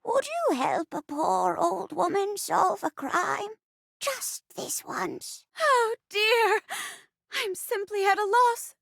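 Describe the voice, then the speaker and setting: Old lady in game mystery played by a younger-sounding woman: fretful, pleading, slow, melodramatic. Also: very high-pitched, animated, vocal fry, fairly bright tone, dry recording, clean studio sound, pitched above the performer's own voice, exaggerated voice. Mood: worried, pleading.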